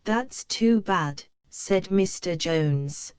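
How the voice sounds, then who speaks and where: A woman reading a children's picture book aloud, slow and careful for young learners. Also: mid-range voice, lively intonation, over loud music. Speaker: storybook reader.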